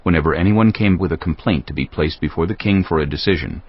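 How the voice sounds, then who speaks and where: A man narrating scripture from a script in a measured storytelling manner. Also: low voice. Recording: slightly muffled, music underneath. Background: background music.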